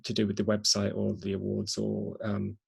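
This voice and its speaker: Gravelly, husky male panel host. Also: on the low side, monotone, normal speed. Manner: appreciative, hesitant, softens with hedges. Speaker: host, event chair. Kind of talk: hosting an online panel event.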